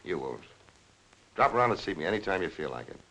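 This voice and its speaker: An actor playing a plain-spoken, wry police lieutenant with quiet authority in a 1940s crime film. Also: male voice, deep voice, sing-song, quick pace, gravelly, exaggerated voice, rough recording. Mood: steady, wry. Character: steady, dry, fatherly police lieutenant. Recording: hissy 1940s film soundtrack.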